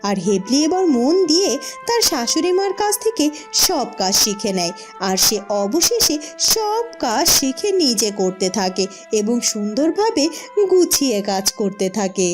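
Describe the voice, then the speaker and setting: A woman's voice: fairly high pitch, sing-song, unhurried, soft background music. Woman narrating a children's story.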